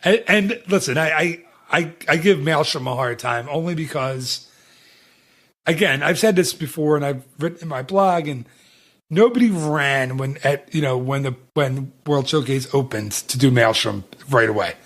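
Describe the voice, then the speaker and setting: Man, podcast host, rambling casual chat, fairly high voice, lots of restarts. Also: normal speed, a bit bright. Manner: chatty, rambling, many restarts.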